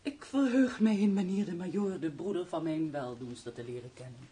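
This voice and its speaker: An actress playing an earnest, refined young castle housekeeper in a radio drama, breathy, gentle and formal. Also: female voice, on the low side, a little fast, a little hiss or hum, natural voice.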